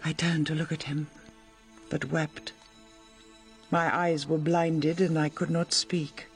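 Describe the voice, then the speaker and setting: Creaky-voiced grieving mother. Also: low-pitched, little pitch movement, faint music behind. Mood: grieving, reflective. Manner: slow, measured, mournful. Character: grief-stricken, guilt-ridden royal mother. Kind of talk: solemn radio drama monologue.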